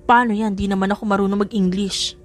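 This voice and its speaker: A woman's voice: mid-range voice, steady tone, fast, lightly acted. A woman voicing a nervous, naive young male heir with an anxious, questioning manner in an audiobook drama. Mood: worried. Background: music.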